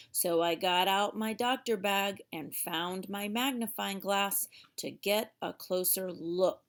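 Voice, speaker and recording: Female children's read-aloud narrator, unhurried and theatrical, mid-range voice, stretches key words for emphasis. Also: medium pitch. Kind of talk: expressive storybook narration for young children.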